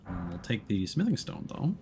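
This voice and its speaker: A man with a fairly low voice streams a game and explains it in a casual, conversational way. Loud game noise runs behind his voice. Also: wildly swooping pitch, fairly quick. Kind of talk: casual live game commentary. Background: video game sound.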